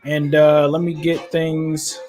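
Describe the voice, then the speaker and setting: A man's voice: fairly high pitch, completely flat delivery, slow, darkish tone, soft background music. A man hosting a fan live stream, giving casual play-by-play commentary and talking conversationally to his viewers. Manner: conversational, addresses viewers and chat.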